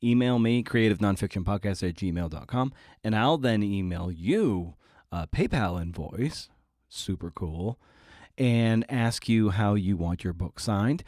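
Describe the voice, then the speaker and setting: Dry close recording, low male voice, podcast host, informal monologue, emphatic delivery, playful asides. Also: lively intonation, measured pace, darkish tone, no echo. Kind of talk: casual podcast intro monologue.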